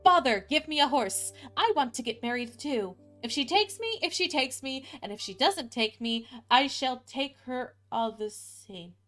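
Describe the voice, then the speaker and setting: A woman's voice: sits high, lively intonation, unhurried, few pauses, fairly bright tone, faint music behind. A woman voicing a brash, eager young simpleton son in a fairy tale, exclamatory and boisterous. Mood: stubborn, eager.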